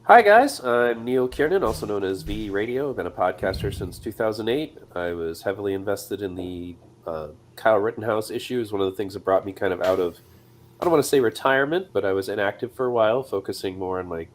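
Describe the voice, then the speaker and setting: A man's voice: lowish voice, animated, fairly quick. A man who podcasts, speaking conversationally as he moderates a panel discussion. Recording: faint ambient noise.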